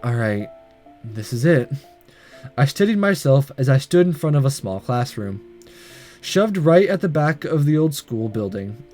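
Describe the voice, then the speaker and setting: A man's voice: a little high, warm tone. A man hosting a gaming video, reading a visual novel aloud with casual comments and stumbling over the odd line. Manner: stumbles and rereads lines.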